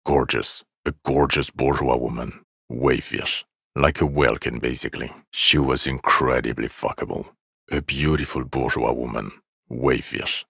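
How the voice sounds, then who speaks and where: Worn out sarcastic middle aged cop for a game, super deep n gravelly, blunt as hell. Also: male voice, fairly even intonation, measured pace, a bit bright, dry recording, clean studio sound, heavily acted. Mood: dry sarcasm. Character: jaded, sardonic middle-aged male police detective. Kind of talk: video game voice acting.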